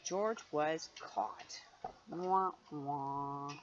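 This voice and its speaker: A mature woman reading a picture book aloud to a child in an animated, playful way, with chatty asides. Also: deep voice, very slow. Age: middle-aged or older. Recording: dull lo-fi home recording, faint noise.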